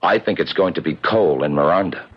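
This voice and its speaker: A man's voice: low-pitched, quick pace, heavy background noise, natural voice. An actor playing a watchful, persuasive publicity man in a 1970s radio drama, with a businesslike way of talking. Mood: confiding, coaxing. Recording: muffled, vintage 1970s radio broadcast.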